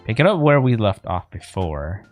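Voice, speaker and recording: A man's voice: fairly low pitch, very animated, normal speed, soft background music. A man giving casual let's play commentary as he streams a video game.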